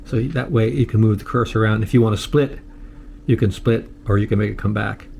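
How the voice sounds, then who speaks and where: An older American man giving a casual, unscripted tutorial in a halting, plain-spoken way, often repeating himself. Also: on the low side, creaky, a little mellow, slight background noise. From United States. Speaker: host of a how-to video channel.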